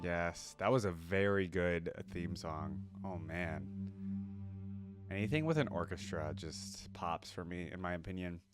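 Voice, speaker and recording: A man's voice: sits low, a little slow, loud music behind the voice. A man hosting a reaction video, commenting casually and reading text aloud as he goes. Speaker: host of a reaction channel.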